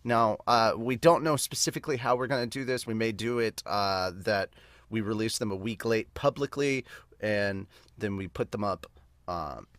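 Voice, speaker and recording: A man's voice: on the low side, a bit bright, no echo, studio quality. A man who hosts a podcast, talking casually to viewers in a chatty, rambling, self-correcting way. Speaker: podcast host and amateur manga dubber. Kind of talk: casual unscripted talk to viewers.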